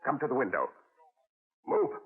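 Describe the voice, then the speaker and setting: An actor playing a menacing crooked lawyer who kills, smooth and coldly polite, in a 1940s radio drama. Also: male voice, medium pitch, wildly swooping pitch, moderate pace. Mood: sneering. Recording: tinny old radio broadcast.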